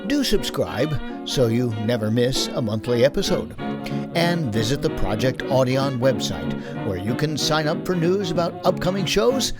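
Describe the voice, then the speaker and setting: A man's voice: medium pitch, very animated, moderate pace. An American man hosting as producer, reading scripted closing credits in an announcer style. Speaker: producer and host. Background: music.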